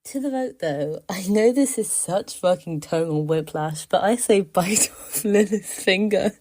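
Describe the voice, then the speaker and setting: A woman's voice: medium pitch, a little slow, a little mellow. A woman giving a casual, persuasive voice message as a listener, with a wry, mock-serious manner. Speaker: listener sending a voice submission.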